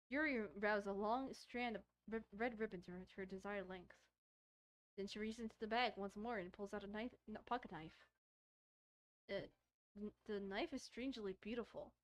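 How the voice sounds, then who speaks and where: A woman's voice: mid-range voice, brisk. A woman gaming streamer doing casual let's-play commentary, reading a visual novel aloud and often stumbling and repeating words as she reads.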